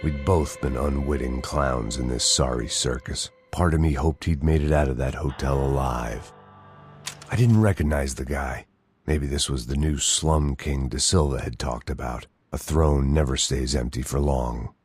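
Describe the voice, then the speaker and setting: An actor playing a jaded, self-loathing middle-aged American ex-cop, narrating a video game in a sardonic hard-boiled noir voice-over. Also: male voice, rumbling low, unhurried. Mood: weary, sardonic. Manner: world-weary, sardonic, dry. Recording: loud music under the voice. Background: music.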